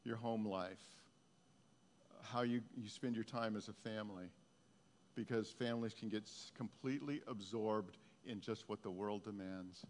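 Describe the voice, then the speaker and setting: A pastor leading a prophetic prayer time, speaking softly and haltingly, repeating his words. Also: fairly low pitch, a little hiss or hum. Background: guitar music.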